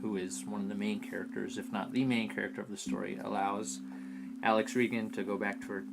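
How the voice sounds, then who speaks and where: A man's voice: on the low side, flat delivery, fairly quick, vocal fry, noisy background. A man co-hosting a casual fan podcast, talking things through inquisitively, recapping and posing questions. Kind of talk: casual discussion of an audio drama.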